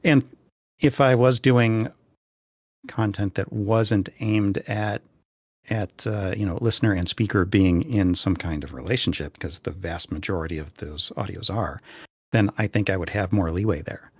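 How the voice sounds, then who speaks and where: A man talking casually on a discussion panel, earnest and halting as he searches for his words. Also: on the low side, no echo, clean studio sound. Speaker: podcast panelist.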